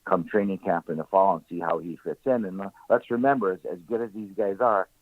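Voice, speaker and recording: A man's voice: sits low, fairly even intonation, a little fast, dry recording. A man giving conversational sports analysis as a call-in guest, often repeating phrases for emphasis. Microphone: phone call-in. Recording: muffled narrow-band phone call, radio-like.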